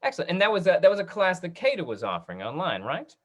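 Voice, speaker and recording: The host of an online theater talkback speaks in a mid-range voice with very lively, swinging intonation. The delivery is casual and encouraging, coming over a video call. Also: mid-range voice, quick pace, dull audio.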